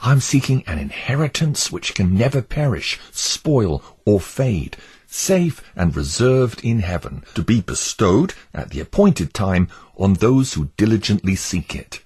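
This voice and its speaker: A man voicing an earnest devout male pilgrim who pleads fervently with his neighbours, in a husky voice. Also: fairly low pitch, very animated, a little slow, a little mellow, voice pushed up, full character voice. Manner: fervent, imploring.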